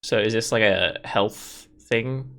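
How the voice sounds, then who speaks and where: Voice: mid-range voice, slow pace, fairly bright tone. A gaming video host giving casual let's play commentary, thinking aloud and piecing things together along the way.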